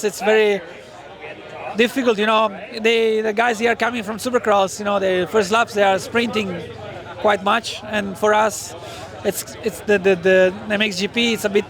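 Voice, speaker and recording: A man with a high, gravelly voice answers questions at an outdoor race track. He talks haltingly, searching for words. A loud crowd fills the air behind him. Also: level tone. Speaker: racer. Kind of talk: press day interview.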